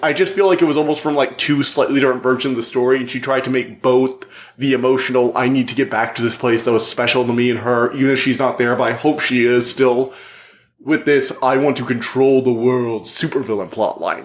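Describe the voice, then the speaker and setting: Slightly hoarse man reviewing a book. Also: medium pitch, a little fast. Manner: rambling, restarts thoughts, self-deprecating. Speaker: podcast host and book reviewer. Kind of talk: casual spoiler review monologue.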